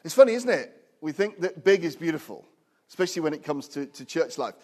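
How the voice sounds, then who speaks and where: Animated man preaching in his fifties. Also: fairly quick. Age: 50s. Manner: animated, rising loud and high when preaching; hushed, soft prayer. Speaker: guest preacher. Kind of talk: sermon. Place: small church. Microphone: own recorder, no microphone.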